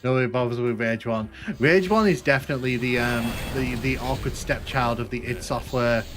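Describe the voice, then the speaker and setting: Northern English man, Manchester accent, relaxed gaming streamer talking over clear game music, trails off and restarts his sentences. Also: mid-range voice, moderate pace. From Northern England. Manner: rambling, self-correcting, chatty. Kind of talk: casual live-stream chat while playing.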